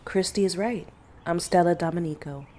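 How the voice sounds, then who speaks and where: A woman acting a composed, secretive middle-aged mother and monster hunter in an audio drama, speaking in a measured, gentle way. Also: on the low side, a little hiss or hum, close to an everyday voice.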